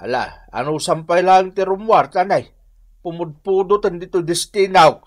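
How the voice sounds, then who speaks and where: A man's voice: high voice, moderate pace. An actor playing a hard-up, plain-spoken village working man in an Ilocano radio drama, exclamatory and colloquial. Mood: casual.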